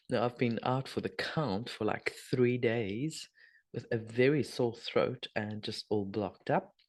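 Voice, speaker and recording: Husky-voiced woman, hoarse. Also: a bass voice, takes it slowly. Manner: rambling, chatty, with soft trailing asides. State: husky, hoarse from a sore throat. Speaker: spiritual video host. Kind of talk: casual spoken energy update.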